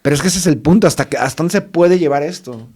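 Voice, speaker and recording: A man's voice: on the high side, quick pace, a little mellow, slightly rough. A man with a Mexican accent, co-hosting a casual panel chat and rambling through digressions and self-corrections. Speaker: co-host.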